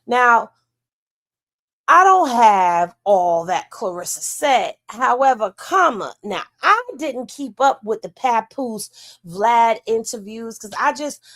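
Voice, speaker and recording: A woman's voice: on the high side, animated, slow pace, fairly bright tone. A woman hosting a livestream, chatting casually in with a drawling, folksy way of gossiping. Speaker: livestream host.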